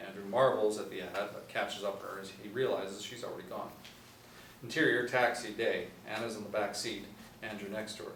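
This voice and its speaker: A man's voice: on the low side, fairly even intonation, fairly quick, fairly bright tone. A man in his forties reading scripted stage directions as a narrator. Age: 40s. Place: small room indoors. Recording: roomy, distant mic, faint noise.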